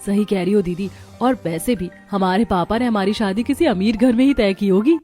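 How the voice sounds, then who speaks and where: A woman voicing young, expressive newlywed sisters in dramatized story dialogue. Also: medium pitch, moderate pace, soft background music, close to an everyday voice. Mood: eager, dreamy.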